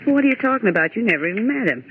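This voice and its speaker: Brash American typist, high animated voice. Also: female voice, very fast. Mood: scoffing, wisecracking. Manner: wisecracking, exasperated, brassy. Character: brash, scoffing young female typist, sharp-tongued and impatient. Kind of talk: 1940s radio horror acting. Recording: scratchy, narrowband old radio recording.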